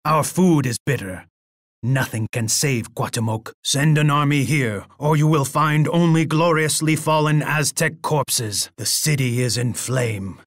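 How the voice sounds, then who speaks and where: A male actor voices a menacing Aztec emperor from a video game, in a medium-pitched male voice. He is pompous and boastful, delivering each line as an imperious, dramatic pronouncement. The sound is close and dry. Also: unhurried, no echo, natural voice. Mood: grave, urgent. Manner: pompous, speaks of himself in the third person.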